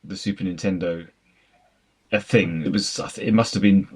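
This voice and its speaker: A man's voice: deep voice, fairly even intonation, a little fast, husky. A middle-aged man hosting a casual podcast discussion of films and games, talking in a wordy, meandering way. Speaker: podcast host. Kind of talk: casual film and game discussion.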